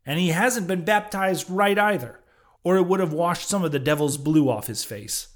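A man's voice: on the high side, animated, normal speed, voice pushed up, natural voice. Male narrator as old whaling skipper, blustering, commanding, old-fashioned seafaring talk, audiobook character. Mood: scornful. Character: gruff, blustering, impulsive old whaling captain.

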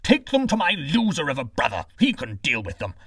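A man voicing a pompous, dismissive king who speaks loudly and haughtily. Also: on the high side, wildly swooping pitch, brisk, breathy edge, dry recording, clean studio sound, far from the mic, full character voice.

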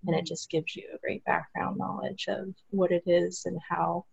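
A woman's voice: fairly low pitch, flat delivery, normal speed, gravelly, breathy edge. A woman guest talking earnestly and with enthusiasm, letting her sentences trail off, heard over a remote call. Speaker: guest, support group organizer. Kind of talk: casual interview chat.

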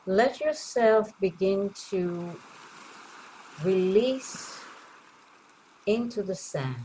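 A woman leading a guided meditation in a gentle, soothing way, leaving long pauses between short phrases. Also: medium pitch, a little hiss or hum, low-quality recording.

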